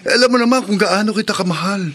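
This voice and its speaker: An actor with a Filipino accent playing a worried, devoted young boyfriend in a horror radio drama. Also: male voice, sits high, fast, a bit bright.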